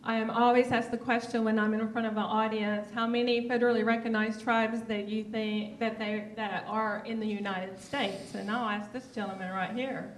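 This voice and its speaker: A woman's voice: on the high side, flat delivery, moderate pace, few pauses, a little hiss or hum. Woman from Oklahoma quizzing an audience. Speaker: guest speaker. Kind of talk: friendly talk to an audience. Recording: echoey chamber, mic from far off.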